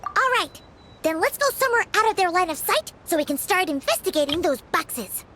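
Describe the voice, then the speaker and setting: A woman voicing a small, excitable, childlike female fairy companion with a squeaky voice in an anime video game. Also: brisk, a little hiss or hum, full character voice. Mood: curious, unsure. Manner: refers to herself in third person, exclamatory.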